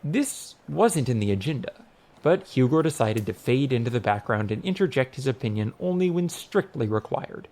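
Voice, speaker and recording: A man narrating a scripted comic fantasy audiobook in an expressive, wry manner. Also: mid-range voice, moderate pace.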